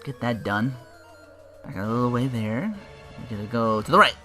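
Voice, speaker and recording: A man's voice: medium pitch, normal speed, sharp tone. A man giving casual gameplay commentary, rambling and correcting himself, with some swearing. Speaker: let's player, host. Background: faint game music.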